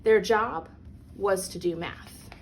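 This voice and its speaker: A woman reads a children's picture book aloud as a storyteller, with deliberate, emphatic phrasing for young listeners. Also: medium pitch. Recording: clearly noisy background.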